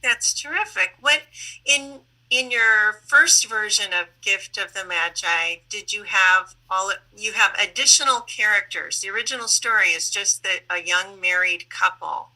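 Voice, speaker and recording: A woman with a mid-pitched, very bright and crisp-edged forceful voice. She moderates in a conversational way, putting courteous, encouraging questions to guests. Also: measured pace, poor audio. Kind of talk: conversational interviewing.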